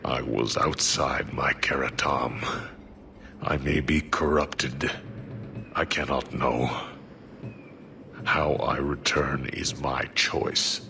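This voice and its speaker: Very deep, stoic horned giant. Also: male voice, steady tone, noisy background, full character voice. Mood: resolute. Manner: halting, stoic, terse declarative phrasing. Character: solemn, devout male Qunari warrior mage, huge horned humanoid. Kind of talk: video game cutscene acting.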